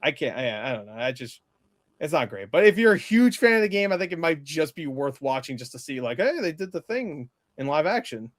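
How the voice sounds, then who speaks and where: A man's voice: a little high, very animated, a little fast, no echo. A man in a casual movie review chat, recorded close and dry with no room sound. He talks in a rambling, blunt style and leans emphatically on his opinions.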